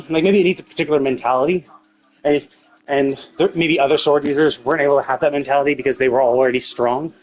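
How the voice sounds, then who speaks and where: A man talks as a game streamer with music behind him. He thinks aloud in a loose, rambling way and swears casually, at an ordinary middle pitch. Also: steady tone, fast talker, poor audio. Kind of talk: casual live stream commentary.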